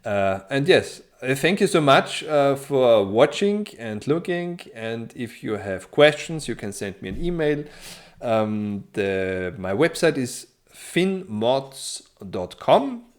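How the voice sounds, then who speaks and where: Austrian man with a German-speaking accent, mid-pitched, friendly and halting tutorial voice-over. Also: big pitch swings. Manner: hesitant, many ums and restarts, friendly. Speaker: tutorial presenter. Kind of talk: screen-share software tutorial.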